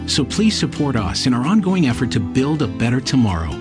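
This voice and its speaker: A man's voice: medium pitch, sing-song, fairly quick, music in the background. A man reading an earnest scripted radio appeal. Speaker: announcer.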